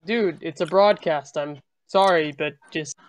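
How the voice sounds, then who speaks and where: A woman's voice: sits low, a little slow, low-quality recording. A woman co-hosting an online show in casual, blunt, slangy chat.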